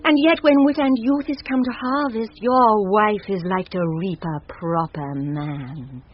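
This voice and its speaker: A woman's voice: medium pitch, measured pace, close to an everyday voice. A British actress playing a proud, lovesick noblewoman, stately and yearning, in a classic radio Shakespeare play. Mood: proud, wistful. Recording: dull, noisy vintage radio recording.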